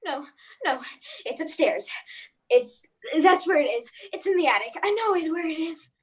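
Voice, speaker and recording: Woman acting a terrified, very high-voiced mother. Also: animated, moderate pace. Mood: frantic evasion. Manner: faltering, repetitive, pleading. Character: Mrs. Morris, terrified, pleading mother. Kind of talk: radio play acting.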